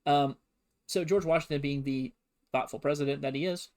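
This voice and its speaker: A man's voice: fairly high pitch, steady tone, moderate pace, a little mellow. A man streaming a casual, comedic history lesson, full of sarcastic and playful asides. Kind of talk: casual, comedic history lecture to a livestream audience.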